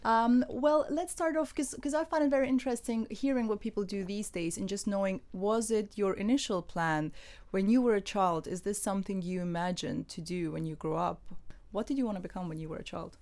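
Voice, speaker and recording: A woman's voice: medium pitch, brisk, a little mellow. A woman hosting a podcast, chatting casually and asking friendly follow-up questions. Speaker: podcast host. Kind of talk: casual interview chat.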